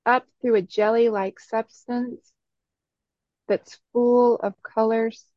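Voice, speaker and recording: A woman with a slightly high voice guides a meditation very slowly. She gives soothing instructions in short phrases and leaves long pauses between them. The recording is dry and a little dull. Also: steady tone, no room sound.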